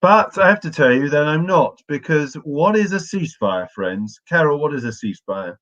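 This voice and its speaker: Hoarse male community rabbi, chatty, greets people by name, dull lo-fi recording. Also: on the high side, animated, moderate pace. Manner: warm, chatty, addresses listeners by name. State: hoarse, losing his voice. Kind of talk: morning learning talk to a congregation. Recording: muffled lo-fi audio.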